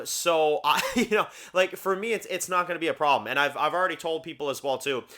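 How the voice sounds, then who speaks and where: A man's voice: mid-range voice, bright, forceful tone. A Canadian man whose first language is French, hosting a casual video review and rambling with lots of fillers like "you know what I mean". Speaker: YouTube TV reviewer. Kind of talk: casual talking-head commentary.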